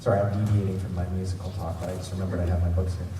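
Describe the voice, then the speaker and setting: Low-voiced male panel host, very echoey hall. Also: level tone, very fast. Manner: rambling, enthusiastic. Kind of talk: informal fan presentation. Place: large convention centre room. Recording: echoey hall from far off, noisy. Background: room noise.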